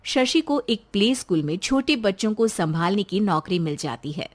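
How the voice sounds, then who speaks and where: A woman's voice: mid-range voice, animated, moderate pace. A woman telling a scripted story as narrator, in the manner of a storyteller who also voices characters.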